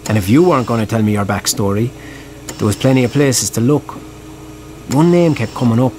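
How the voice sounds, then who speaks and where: An actor playing an earnest young film-maker from Cork with an Irish accent, hesitant and informal, tactful but probing in a naturalistic audio drama. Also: male voice, mid-range voice, fairly quick, a little mellow, a little hiss or hum, lightly acted. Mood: reflective.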